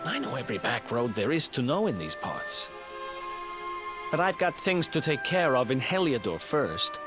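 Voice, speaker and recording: A man's voice: medium pitch, big pitch swings, normal speed, lightly acted. A man voicing a cocky, streetwise young thief in a video game, with a brash, breezy, conspiratorial manner. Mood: confident, persuasive. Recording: loud game music behind. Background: game music.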